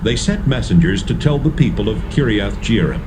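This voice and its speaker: A man giving measured, storytelling narration for a dramatised audio Bible. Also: lowish voice, lively intonation, background noise.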